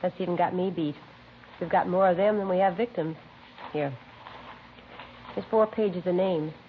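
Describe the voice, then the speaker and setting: Casting: actress as matter-of-fact policewoman, lowish voice, dry delivery, tinny vintage radio sound with faint hiss. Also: steady tone, normal speed, lightly acted. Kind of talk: 1950s radio police drama dialogue.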